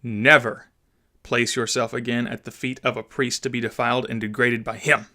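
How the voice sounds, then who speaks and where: Man reading as a stern, indignant father, mid-pitched. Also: level tone, normal speed, pushed and bright, lightly acted. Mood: solemn, pleading. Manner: oratorical, emphatic, solemn. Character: indignant father, stern gentleman addressing an assembly. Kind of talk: dramatised audiobook reading.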